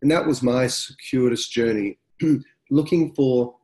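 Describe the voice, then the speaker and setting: A middle-aged man, hosting a webinar in a relaxed, conversational, reflective way, his nose blocked up from a cold. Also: mid-range voice, unhurried. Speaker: webinar host. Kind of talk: casual fireside-style webinar talk.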